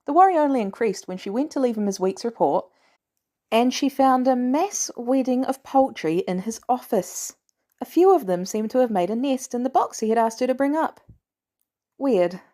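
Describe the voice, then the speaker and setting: Female narrator for an audiobook-style fan fiction reading; warm, relaxed tone with a lively, expressive read. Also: on the high side. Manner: expressive storytelling, animated delivery. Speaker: podfic reader.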